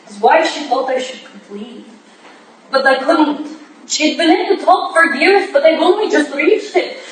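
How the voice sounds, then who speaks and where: A woman's voice: high-pitched, measured pace. A woman playing a proud, desperate young foreign ballerina in a staged reading, urgent and defensive. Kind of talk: staged reading of a period drama. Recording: distant, very echoey room, faint noise.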